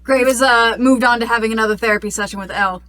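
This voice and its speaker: A woman's voice: fairly high pitch, steady tone, rapid-fire, fairly bright tone, a little hiss or hum. Woman bantering at a game table. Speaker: tabletop role-playing game player. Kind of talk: casual, joking table talk.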